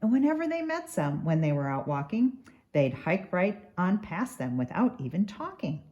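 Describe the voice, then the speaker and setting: A woman giving an expressive, rhythmic and dramatic narration of a children's storybook. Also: on the low side, moderate pace, dull audio. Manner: rhythmic, dramatic read-aloud. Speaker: doctor reading a children's book.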